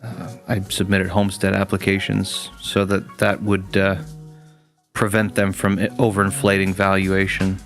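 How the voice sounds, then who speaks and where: A man's voice: low-pitched, monotone, normal speed, fairly bright tone, soft background music. A man hosting a gaming stream, chatting casually with viewers in a rambling way, full of restarts and fillers.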